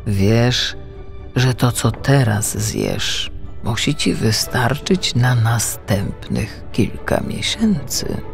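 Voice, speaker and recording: Male reader as orderly father humpback, gently quizzing, measured and creaky, children's audiobook. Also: medium pitch, very animated, soft background music, heavily acted. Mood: earnest, checking. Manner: slow, deliberate questioning.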